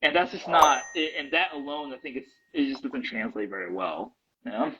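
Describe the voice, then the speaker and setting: A man's voice: mid-range voice, moderate pace, dull audio, background noise. A man answering interview questions in a loose, casual way, full of fillers and restarts. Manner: hesitant, many fillers and restarts. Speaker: guest.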